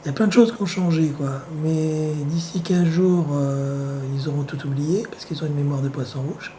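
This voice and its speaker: A man with the flu talks at home in a loose, meandering monologue, his hoarse voice mellow and dark. He is wry and sardonic, and he pauses often with drawn-out hesitations. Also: a little high, steady tone, slight background noise. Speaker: vlogger. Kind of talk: rambling casual monologue.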